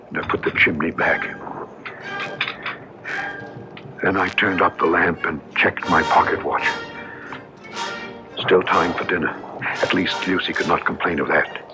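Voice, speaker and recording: An actor playing a tormented, fearful gentleman telling his own horror story in theatrical, literary radio drama style. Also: low voice. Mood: grave narrating. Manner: literary, theatrical, anguished delivery. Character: tormented gentle husband possessed, fearful, melancholy narrator of horror. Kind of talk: 1970s radio drama acting, first-person narration. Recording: dull old radio recording, music underneath.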